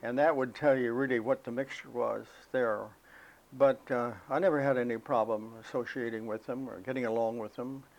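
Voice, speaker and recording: An elderly American man recalling his life as a veteran in an interview, speaking in a halting, reflective, unhurried way. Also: mid-range voice, fairly even intonation, gravelly, rough recording. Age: elderly, 80s or older. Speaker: interviewee, war veteran recalling military service. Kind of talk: oral history interview, personal recollection.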